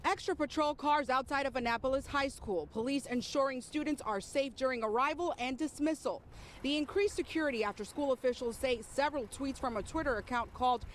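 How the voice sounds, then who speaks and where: Field reporter, female, American, very high register, scripted delivery, faint outdoor noise. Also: a little fast. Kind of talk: scripted live news report. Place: outdoors outside a school. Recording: faint outdoor ambience and traffic.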